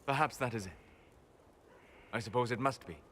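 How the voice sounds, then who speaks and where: An actor playing a wise, aloof male elf mage in a game cutscene, measured and soft-spoken. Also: medium pitch, slight background noise, lightly acted. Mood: calm, thoughtful. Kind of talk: video game cutscene dialogue. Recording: game audio with long reverb, faint ambience.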